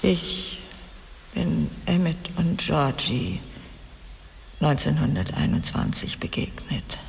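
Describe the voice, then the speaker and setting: An actress playing a bitter, broken middle-aged wealthy woman confessing in a radio drama, with a husky, creaky voice and halting, weighty delivery. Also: sits low, darkish tone, a little hiss or hum, heavily acted. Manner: slow, halting, weighty delivery with breathy drops. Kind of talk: dramatic radio play monologue. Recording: long reverberant hall sound.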